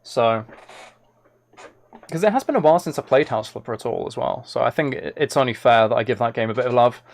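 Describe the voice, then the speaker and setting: A man's voice: medium pitch, brisk, vocal fry. A man live-streaming video games, chatting casually to his viewers and thinking aloud as he plays.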